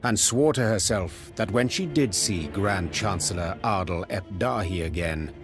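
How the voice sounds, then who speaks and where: A grave storyteller narrates a fantasy war saga for a game. His voice sits fairly low, with scripted dramatic phrasing. Music runs underneath. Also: a little slow. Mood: measured, grave. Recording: music behind the voice.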